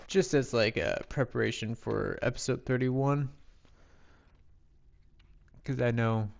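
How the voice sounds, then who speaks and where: A man with a raspy voice giving casual live gameplay commentary, rambling and thinking aloud in a self-deprecating, playful way. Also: mid-range voice. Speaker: game streamer.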